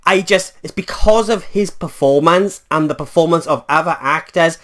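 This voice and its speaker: A man's voice: fairly high pitch, moderate pace, a bit bright. A man from Wales with a Welsh accent, giving a casual spoken film review in a chatty, rambling way with many fillers and self-corrections.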